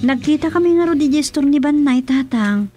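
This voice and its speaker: A woman's voice: high-pitched, animated, brisk, over loud music. A woman playing a concerned family member in a radio drama comedy, with an Ilocano accent.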